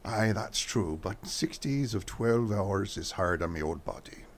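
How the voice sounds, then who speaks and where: Man playing a low-voiced, good-humored weary dockworker. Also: lively intonation, a little slow, a little hiss or hum. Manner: folksy, teasing. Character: weary, good-humored Irish-American dockworker husband, no spring chicken. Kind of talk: radio play acting.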